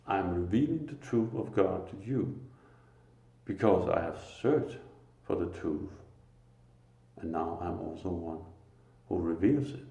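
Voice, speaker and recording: A man with a Danish accent speaks slowly and earnestly about faith, pausing often between phrases. His voice is very low, gravelly and mellow. The recording is echoey, as in a bare room. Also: animated. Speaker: lay preacher. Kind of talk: informal spiritual talk.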